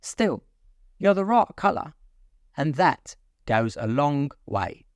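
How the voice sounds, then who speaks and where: Man putting on a snooty flower lady, high voice, cutting and severe, storybook. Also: sing-song, slow, no echo, clean studio sound. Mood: haughty. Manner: haughty, critical. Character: proud haughty female rose, severe.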